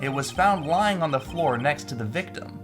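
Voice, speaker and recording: A man's voice: on the high side, expressive, normal speed, music in the background, natural voice. Man acting a smug, formal prosecutor. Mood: smug, accusing. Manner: formal, pointed, condescending. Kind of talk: video game fan dub.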